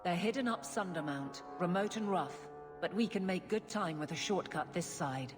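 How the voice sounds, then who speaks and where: A woman's voice: fairly low pitch, lively intonation, normal speed, close to an everyday voice. An actress playing a stern, practical city guardswoman in a fantasy game, blunt and businesslike. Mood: resolute. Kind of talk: fantasy video game acting. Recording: long reverb, loud music behind.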